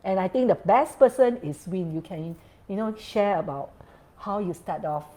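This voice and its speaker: A woman's voice: mid-range voice, animated, normal speed, dark tone, low-quality recording. Woman host, chatty, laughs a lot, switches to Mandarin mid-sentence. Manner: laughs often, mixes English with Mandarin. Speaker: feng shui consultant hosting an interview. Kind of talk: casual chat.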